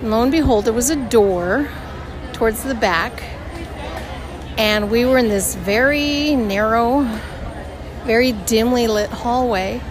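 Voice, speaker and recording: A woman hosting a podcast, telling a story in a casual, chatty way, her voice hoarse and her throat tight. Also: a little high, takes it slowly, long pauses. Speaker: podcast host. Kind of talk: casual theme-park audio diary. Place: outdoors on a theme park street. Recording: outdoor park recording with music and crowd. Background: band music and crowd.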